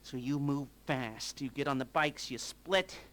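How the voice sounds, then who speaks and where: A man's voice: mid-range voice, a little slow, fairly bright tone, natural voice. A man playing a nervy, sardonic middle-aged heist planner in a video game, rambling through mission briefings with hesitant, dry wisecracks. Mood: nervous, rambling.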